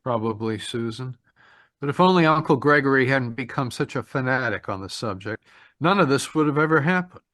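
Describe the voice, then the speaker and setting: A man's voice: medium pitch, expressive, brisk, fairly bright tone, no room sound, studio quality, lightly acted. A man acting a grieving, resigned young nephew in an old-time radio drama. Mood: sad, resigned.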